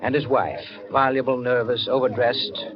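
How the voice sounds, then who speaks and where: An actor playing a wry, observant storyteller, narrating a 1940s radio drama in a deliberate, literary manner. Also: male voice, mid-range voice, steady tone, lightly acted. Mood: wry, measured. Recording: dull vintage radio recording with music.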